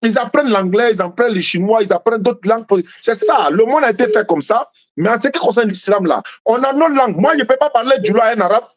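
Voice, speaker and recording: A man whose first language is Malinke argues his faith in a heated debate. He repeats himself and talks over the other side, his high voice rising and falling widely. The sound is muffled and lo-fi. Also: lively intonation, fast. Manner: insistent, repeats points, interrupts.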